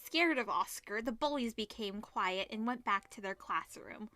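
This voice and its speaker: A woman's voice: a little high, expressive, normal speed, sharp tone, dry recording, soft background music. A woman reading visual novel text aloud as host, dropping in informal asides and reactions. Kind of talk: reading game text aloud with casual commentary.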